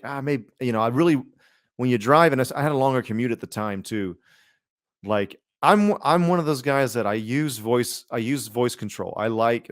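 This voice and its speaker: A man's voice: mid-range voice, clean tone, no room sound, studio quality. A man co-hosting a podcast in casual chat, with a slangy, sweary, conversational way of talking. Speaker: podcast co-host.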